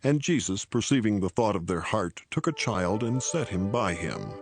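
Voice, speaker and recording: A man narrating scripture in a solemn, measured and formal reading style. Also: on the low side, big pitch swings, slightly rough, soft background music.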